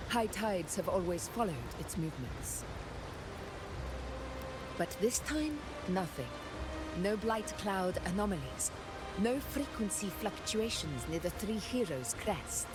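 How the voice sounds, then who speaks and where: An actress playing a composed, commanding warrior ruler in a video game, measured and stately. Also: female voice, mid-range voice, animated. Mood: serious. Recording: very loud music behind.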